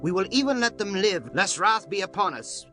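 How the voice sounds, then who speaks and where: An actor playing a wary, firm prince of ancient Israel, declaiming solemnly in a dramatized scripture audiobook. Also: male voice, high-pitched, sing-song, normal speed, sharp tone, faint music behind, exaggerated voice. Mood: firm decree.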